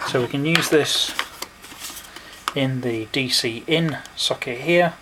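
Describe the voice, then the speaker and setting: A man giving a hands-on product review, explaining step by step in short, unhurried phrases, indoors at a desk. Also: medium pitch. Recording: noisy home recording with clicks.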